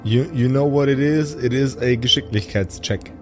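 Mid-pitched male game master over music. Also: normal speed. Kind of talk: casual improvised game narration and banter.

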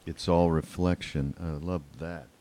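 A man hosting a podcast in casual interview chat, halting and often repeating his words. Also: low-pitched, fairly even intonation, dark tone. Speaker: podcast host.

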